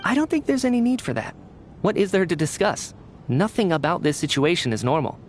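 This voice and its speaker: An actor playing a brainy, curious young scientist in a video game, speaking in an articulate, analytical way. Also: male voice, high-pitched, big pitch swings, brisk, a little hiss or hum. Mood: skeptical.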